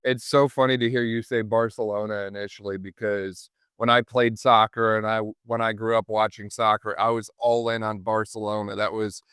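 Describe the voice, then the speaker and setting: A man's voice: lowish voice, flat delivery, normal speed, hardly any pauses, a bit bright, clear, resonant tone, no room sound, clean studio sound. A man hosting a podcast, interviewing in an effusive, wordy conversational way. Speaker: podcast host.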